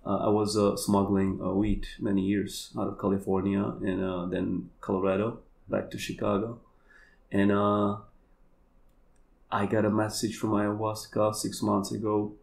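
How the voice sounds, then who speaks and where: A man with a Polish accent, a native Polish speaker, talking in a halting, searching way as a podcast guest. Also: on the low side, flat delivery, vocal fry, darkish tone. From Poland. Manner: halting, searching for words, many fillers. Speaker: kambo practitioner guest. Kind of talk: casual conversation.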